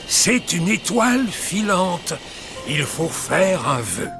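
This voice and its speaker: Old grandfather pig, cartoon sailor, hearty, wildly varied intonation, slow delivery, kindly, explanatory. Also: sits high, sing-song, over loud music, heavily acted. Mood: explaining. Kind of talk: children's cartoon dubbing.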